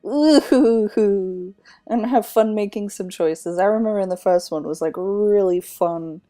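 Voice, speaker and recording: A woman's voice: medium pitch, a little slow, dark tone. Chatty woman thinking aloud while gaming. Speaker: gamer. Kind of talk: casual gameplay commentary. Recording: commentary over game audio with music.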